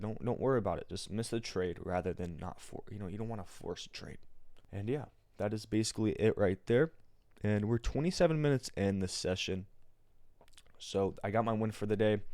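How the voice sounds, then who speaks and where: An American man with a raspy morning voice, explaining things step by step in an informal, slangy livestream tutorial style. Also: on the low side, a little mellow, no room sound. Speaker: day trader and livestream host. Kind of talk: casual live trading tutorial.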